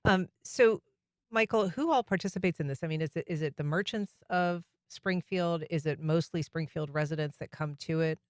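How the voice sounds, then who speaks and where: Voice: mid-range voice, moderate pace, dry recording. A radio talk show host welcoming guests and asking friendly questions with casual fillers. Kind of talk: radio interview.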